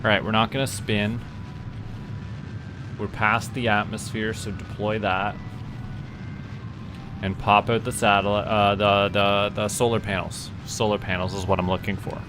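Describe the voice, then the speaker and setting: Low-pitched Canadian man streaming a game. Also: little pitch movement, a little slow, long pauses, noisy background. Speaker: livestream host playing a space simulation game. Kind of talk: casual gameplay commentary to a live chat. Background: music.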